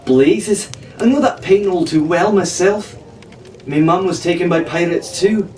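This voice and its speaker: A man's voice: sits high, a little slow, soft background music, voice pushed up. A man voicing a feisty, blunt teenage girl adventurer in a storybook reading. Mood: soft, bitter. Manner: blunt, muttering, soft-hearted underneath. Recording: echoey room, distant mic, faint hiss.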